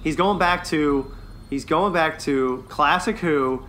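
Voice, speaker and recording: A man with a bright, slightly high voice chats as a podcast co-host. He is casual, enthusiastic and quick to agree. The recording carries audible background noise. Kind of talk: casual review chat.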